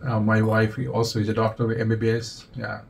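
A man in his forties from Bangladesh, a doctor, answering interview questions plainly and calmly in an office, with slightly English. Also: on the low side, creaky, a little hiss or hum. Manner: plain, measured, slightly non-native English. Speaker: physician, interview guest.

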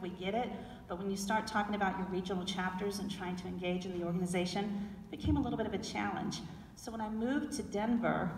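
A middle-aged woman with a gentle Southern accent talks easily on a handheld mic, filling her sentences with "uh" and starting them over. The sound is caught from far back in a large hall, so her voice carries a long, roomy echo and a faint hum of ambience. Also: medium pitch. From South Carolina. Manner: relaxed, chatty, with frequent fillers and restarts. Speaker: panel guest. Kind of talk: onstage fireside chat. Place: large indoor event hall with an audience. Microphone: handheld mic, recorded by a far camera.

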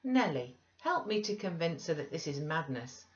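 A woman voicing an imperious, sharp-tongued young married gentlewoman in an audiobook, emphatic and scornful. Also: low-pitched, sing-song, moderate pace, muffled recording. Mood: emphatic warning. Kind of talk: dramatized audiobook reading.

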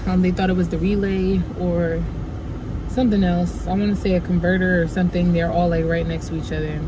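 A woman's voice: lowish voice, background noise. A young woman talking casually and candidly to her phone camera, mostly from inside a parked car, upbeat despite her troubles. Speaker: vlogger. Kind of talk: casual vlog chat. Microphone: phone held at arm's length.

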